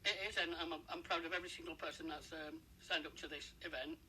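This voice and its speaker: Bright, sharp-voiced man being interviewed. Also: fairly high pitch, fairly even intonation, fast talker, low-quality recording. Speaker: interview guest, charity fundraiser. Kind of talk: informal radio interview answers. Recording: radio interview with faint background noise.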